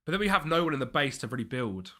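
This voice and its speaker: A young man with a bright, forceful voice, talking live over a game stream. He reads out chat messages and muses about tactics in a chatty, easygoing way. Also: medium pitch, very animated, rapid-fire. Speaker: streamer playing a football management game.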